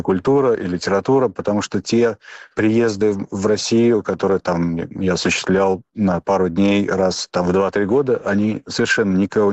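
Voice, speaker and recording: A man's voice: on the low side, a little mellow, no room sound. A man in his fifties answering an interview off the cuff, hesitating and restarting often. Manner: hesitant, many fillers and restarts. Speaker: interview guest.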